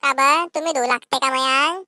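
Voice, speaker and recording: A woman plays a sassy young village sister-in-law in a comedy cartoon. Her voice is high and piercingly bright, her tongue sharp and wary. She has a rural Ahirani accent from north Maharashtra. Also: fairly quick, no echo, studio quality.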